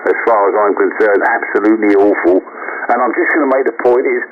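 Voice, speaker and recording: Man, lower voice, casual ham radio talk, narrowband noisy shortwave. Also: fairly quick. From England, south of London. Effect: shortwave radio transmission. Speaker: amateur radio operator. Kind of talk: casual radio contact chat.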